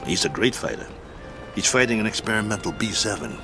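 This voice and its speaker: An eager, deep-voiced fight manager. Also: male voice, animated, fairly quick, over music. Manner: eager, pleading. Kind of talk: 1960s-style radio drama acting.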